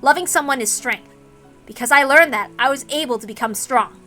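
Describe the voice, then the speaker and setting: An earnest young man from a story reading, played by a woman, stubborn and devoted. The voice is bright and resolute, declaring itself firmly with faint music behind it. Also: high voice, brisk.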